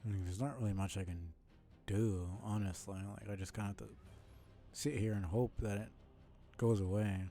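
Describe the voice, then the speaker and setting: Mellow, low-key man streaming a game. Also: steady tone, moderate pace, soft background music. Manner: muttering, profane, low-key. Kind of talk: casual live playthrough commentary.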